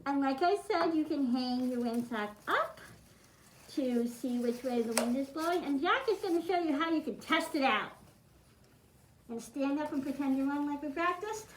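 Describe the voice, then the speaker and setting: A woman's voice: high voice, expressive, moderate pace. A woman demonstrating a craft for children, giving simple step-by-step instructions in an encouraging way. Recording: roomy echo, clearly noisy, clicking.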